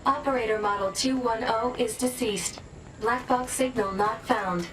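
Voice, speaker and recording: High, echoing clinical female robot played by a woman. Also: lightly acted. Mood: clinical, flat. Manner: clinical, measured, emotionless phrasing. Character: formal, dutiful female robotic support unit. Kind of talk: scripted game status reporting. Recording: echoey, with music behind.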